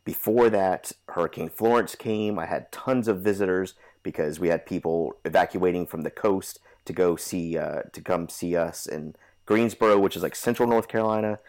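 Deep-voiced nasal man with a cold. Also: normal speed, a little mellow. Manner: rambling, many fillers and restarts. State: stuffy from a cold. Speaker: podcast host. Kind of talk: casual chat.

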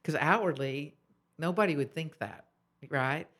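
A woman's voice: sits low, a little slow, fairly bright tone. A woman, a guest author, sharing her faith story in an earnest, filler-laden conversational way. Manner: earnest, testimonial, many fillers.

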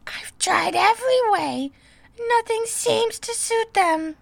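An agitated mother pigeon from a storybook, piercingly high and sharp-edged. The bird scolds in a creaky, shrieking way, indignant and full of contempt. Every complaint is plaintive and emphatic. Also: very animated, a little slow, no room sound, heavily acted. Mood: sobbing frustration. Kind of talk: dramatised storybook reading.

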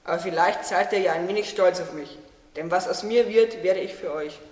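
A high voice sounds a little distant in an echoing hall. It is a talking tree acted by a man, earnest, humble and unsure of its own worth, in a radio play. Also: a little fast, natural voice. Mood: solemn, devoted. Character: young earnest humble talking tree, self-doubting yet devoted. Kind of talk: German radio play acting. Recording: echoey hall, distant from mic.

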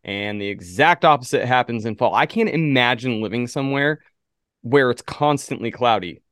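A man's voice: medium pitch, animated, moderate pace, fairly bright tone, no room sound, clean studio sound. A man co-hosting a podcast, chatting casually and bluntly with some swearing. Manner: blunt, profane. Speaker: podcast co-host.

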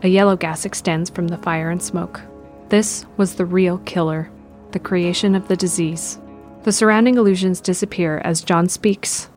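A woman with a fairly low voice narrates a scripted audio drama. Her delivery is measured and descriptive, and faint music plays behind her. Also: fairly even intonation, darkish tone.

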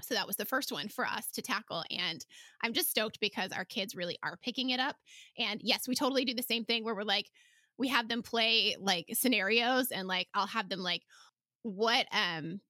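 A woman hosting a casual podcast interview, telling stories in an animated, informal way. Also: medium pitch, normal speed, no echo. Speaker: podcast host; homeschooling parent.

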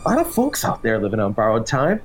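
A drawling, mocking soul-collector. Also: male voice, mid-range voice, huge pitch swings, fast talker, a little hiss or hum. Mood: menacing. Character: sinister soul-collecting male bounty hunter, calm and mocking. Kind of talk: horror audio drama acting.

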